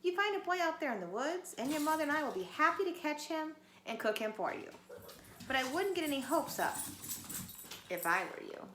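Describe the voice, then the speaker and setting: A woman's voice: high voice, very animated, fairly quick, sharp tone, roomy sound, slight background noise, pitched above the performer's own voice, exaggerated voice. A woman voicing a stern grown father wolf in a storybook reading. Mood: fatherly. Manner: barking, stern.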